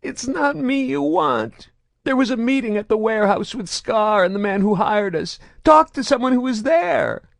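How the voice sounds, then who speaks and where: A shrill, terrified alien mercenary for a storybook reading, begging in a high mewling whine. The voice swoops far above the reader's own deep tone and leaps wildly from word to word. It is recorded dry and close. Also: male voice, lively intonation, moderate pace, gravelly, no echo, exaggerated voice. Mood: terrified pleading. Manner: pleading, desperate, stammering.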